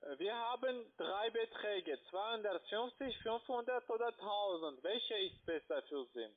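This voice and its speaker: Male telesales caller, non-native with foreign accent, high voice, insistent and halting, thin phone-line sound. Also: expressive. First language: not German. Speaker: call-centre investment salesperson. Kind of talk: phone sales pitch. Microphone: phone call. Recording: tinny narrow-band phone line.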